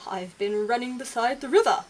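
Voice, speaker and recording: A woman's voice: fairly high pitch, moderate pace, a little hiss or hum, natural voice, rough recording. A woman voicing a friendly, adventurous forest animal in a children's storybook, bold and chatty. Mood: proud, friendly.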